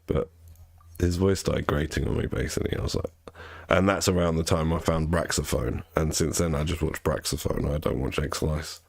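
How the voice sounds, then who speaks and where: A man's voice: very deep voice, fairly even intonation, moderate pace, creaky. A man streaming a game, talking in a casual, rambling way with a nasal voice and frequent restarts. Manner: rambling, self-correcting, chatty. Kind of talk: casual chat while gaming.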